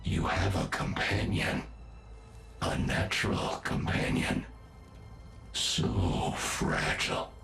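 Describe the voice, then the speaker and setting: Sinister robot villain, game cutscene, drawling slowly, gravelly, taunting, refined wording, loud music behind. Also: male voice, echoey room. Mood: cold menace. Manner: slow, taunting, ornate speech. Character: menacing, cultured robotic male villain. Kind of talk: video game cutscene acting.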